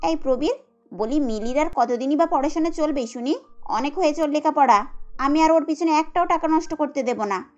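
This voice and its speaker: A woman's voice: sits high, moderate pace, dull audio, soft background music, close to an everyday voice. A woman voicing a scornful, domineering young housewife who scolds sarcastically in a cartoon. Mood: nagging. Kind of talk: Bengali cartoon dubbing.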